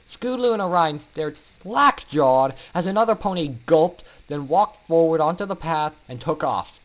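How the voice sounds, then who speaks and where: Higher-pitched man reading a story dramatically. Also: expressive, highs cut off. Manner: theatrical, emphatic, with dramatic pauses. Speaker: narrator.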